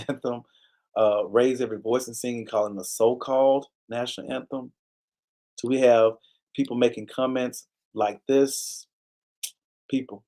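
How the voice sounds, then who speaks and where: A man hosting a live online talk show, speaking earnestly in a preacher-like cadence, repeating key phrases and stopping to thank viewers by name. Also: mid-range voice. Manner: pauses to give viewers shout-outs. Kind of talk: casual live-stream commentary.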